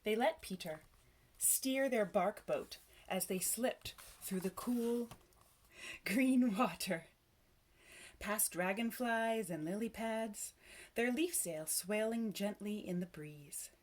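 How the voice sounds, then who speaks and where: A woman reading a children's story aloud, with expressive, measured narration and dramatic phrasing. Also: mid-range voice. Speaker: storybook reader.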